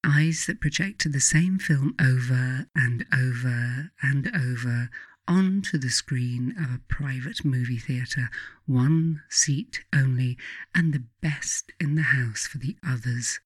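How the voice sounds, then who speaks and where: A woman's voice: extremely low pitch, slow, slightly rough, no echo. British woman narrating, close and dry. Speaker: author reading own book. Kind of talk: scripted audiobook narration of spiritual self-help.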